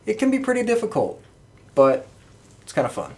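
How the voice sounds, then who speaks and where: Mid-pitched podcast co-host, casual. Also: huge pitch swings, fairly quick, a little mellow.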